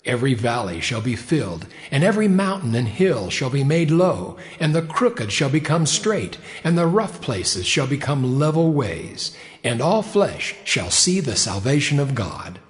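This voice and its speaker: Male prophetic herald actor, medium pitch, declamatory, very animated, big reverberant sound, dramatized scripture. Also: a little slow, hardly any pauses. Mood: solemn, exalted. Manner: proclaiming, declamatory. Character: solemn male prophetic herald proclaiming in the wilderness. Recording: long echoing reverb.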